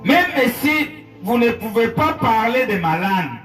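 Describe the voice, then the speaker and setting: A male pastor preaching in French with an interpreter, telling a story with emotion, moving between hushed and raised passages. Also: extremely high pitch, big pitch swings, measured pace. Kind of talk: sermon with interpreter. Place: church hall. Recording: echoey church hall, far from mic, music behind.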